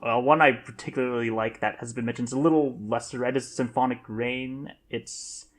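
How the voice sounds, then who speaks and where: Quiet, hesitant man in a podcast chat. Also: medium pitch, fairly even intonation, moderate pace. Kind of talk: casual podcast discussion.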